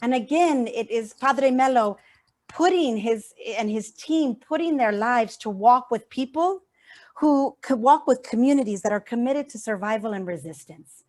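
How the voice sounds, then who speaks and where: Woman, slightly high pitch, earnest, heartfelt activist speech, emphatic repetition. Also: lively intonation, normal speed, darkish tone. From San Francisco Bay Area, USA. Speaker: activist speaker. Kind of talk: heartfelt speech at an online event.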